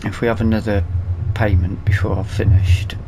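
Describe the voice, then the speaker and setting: A British man with a lowish, creaky voice talks casually through a video game. He muses to himself as if nobody needs to answer. Vehicle noise runs clearly behind his commentary. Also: level tone, normal speed. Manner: musing aloud to himself. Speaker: gaming YouTuber. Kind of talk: casual let's play commentary.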